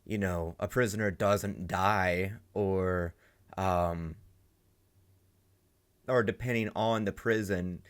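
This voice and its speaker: A man's voice: sits low, fairly even intonation, slow, pauses often, smooth. A man hosting a reaction video, rambling and thinking aloud with lots of fillers. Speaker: reaction channel host. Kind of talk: casual reaction commentary.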